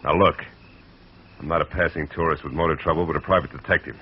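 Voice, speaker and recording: An actor playing a tough, wry private detective who narrates and talks in a clipped, sardonic way in an old radio drama. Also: male voice, very deep voice, steady tone, quick pace, slight background noise. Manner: hard-boiled, clipped, sardonic. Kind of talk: 1940s radio acting. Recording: dull old radio recording with music.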